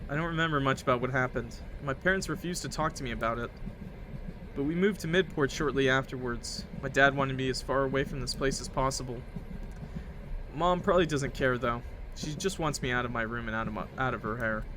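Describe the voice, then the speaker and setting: A man's voice: medium pitch, a little fast, noisy background, close to an everyday voice. A man voicing an awkward, withdrawn, weary young passenger in a visual novel, hesitant and casual. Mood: resigned.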